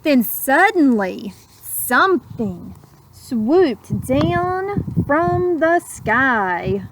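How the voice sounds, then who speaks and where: A woman reads a children's story aloud at an unhurried, slow pace. Her voice is high and expressive, dramatizing the lines and adding simple asides for young listeners. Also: background noise. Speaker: storybook reader.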